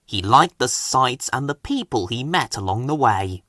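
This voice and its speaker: A man reading a children's storybook aloud as a scripted narrator, with an expressive, animated delivery. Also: medium pitch, a little slow, dry recording, clean studio sound. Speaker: story reader.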